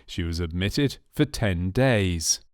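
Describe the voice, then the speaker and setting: A man reading scripted documentary narration for a medical training film in a measured, factual manner. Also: low voice, animated, smooth, no room sound, studio quality. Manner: measured, factual delivery of clinical case history.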